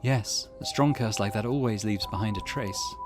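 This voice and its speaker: A man voicing a calm, modest teenage girl who is an occult expert, reading a visual novel aloud. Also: fairly low pitch, normal speed, music in the background, lightly acted.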